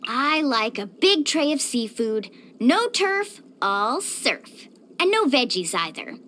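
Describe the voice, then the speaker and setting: A woman voicing an upbeat, caring, sporty young surfer in a video game, speaking in a peppy, expressive, friendly way. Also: sits high, takes it slowly, exaggerated voice. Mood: candid, upbeat. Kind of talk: scripted video game voice acting.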